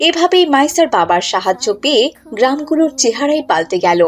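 A woman's voice: high-pitched, very animated, fast talker. A woman narrating a scripted children's cartoon story.

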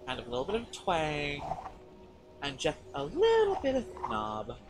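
A young American man livestreaming a game, chatting in an expressive, sweary, teasing way. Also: fairly high pitch, normal speed, noisy background. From California, USA. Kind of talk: casual banter while streaming a game. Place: indoor room, seated in armchair.

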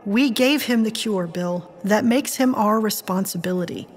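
A woman's voice: mid-range voice, normal speed, natural voice. A woman playing a wry, loyal, principled woman in an audio drama, with a matter-of-fact manner. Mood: reluctant, firm.

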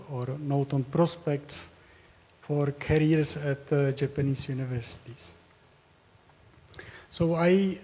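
A man's voice: fairly high pitch, darkish tone. Hesitant man lecturing in a hall. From Czech Republic. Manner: hesitant, with frequent fillers and repeated words. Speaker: professor giving a research talk. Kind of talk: academic presentation.

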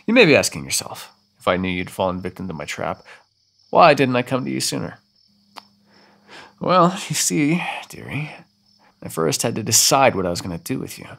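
A man's voice: mid-range voice, sing-song, moderate pace, creaky, long pauses, a bit bright, heavily acted. A man voicing a centuries-old male vampire in an audio roleplay, condescending and teasing with sudden threats. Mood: menacing.

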